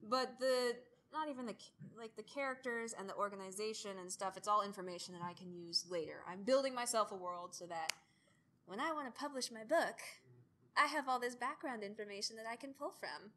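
Bright-voiced young woman presenting informally. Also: a little high, brisk, slight background noise. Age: early 20s. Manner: self-deprecating, rambling. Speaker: student audio drama creator giving a presentation.

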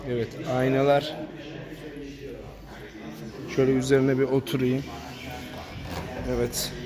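Man, monotone, matter-of-fact, phone-filmed showroom walkaround, crowd chatter behind. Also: medium pitch, a little slow. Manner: plain, matter-of-fact pointing out of details. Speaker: motorcycle reviewer. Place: indoor motorcycle showroom. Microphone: handheld phone camera.

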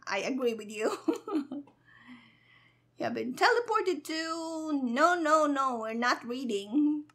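A woman streamer commenting playfully over a game in a very high-pitched voice. She takes her time with the words, sounding giggly and sassy, and her tone is bright and sharp. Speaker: gaming streamer. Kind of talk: casual playful gameplay commentary.

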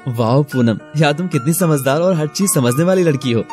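A man voicing an earnest, hesitant young male college student with an Indian accent in an animated story. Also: on the high side, fairly quick, music in the background, close to an everyday voice. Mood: admiring.

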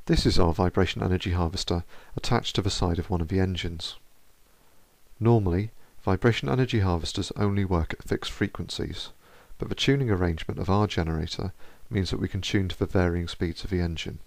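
A male researcher reading a scripted explanatory narration in a steady, measured way. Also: sits low, vocal fry, no echo.

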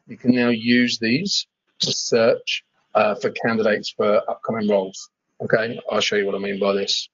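A man giving an informal software walkthrough as a webinar presenter, hesitating and repeating words as he explains. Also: fairly low pitch, no echo, dull audio. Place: screen-share webinar voice-over.

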